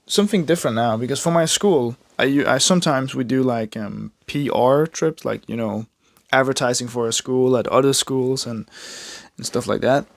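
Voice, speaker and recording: Chatty young Danish man, gravelly voice. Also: mid-range voice, dry recording, studio quality. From Denmark. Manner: rambling, chatty, self-mocking, many fillers and restarts. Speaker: art vlogger. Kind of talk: casual chat while drawing.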